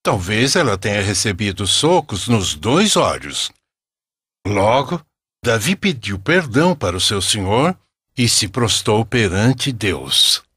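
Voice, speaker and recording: A man's voice: lowish voice, big pitch swings, slow, a bit bright, husky, dry recording, clean studio sound. A man narrating a scripted religious story in a solemn storyteller's manner.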